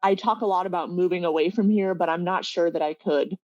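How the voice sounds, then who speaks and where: Norcal woman chatting casually on a podcast, lowish voice, dry slightly dull audio. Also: a little fast, darkish tone, no room sound. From Northern California. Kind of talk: casual chat.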